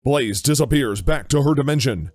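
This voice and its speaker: A man's voice: medium pitch, animated, moderate pace, darkish tone, no echo, clean studio sound. A man reading scripted narration for an audio drama as its narrator.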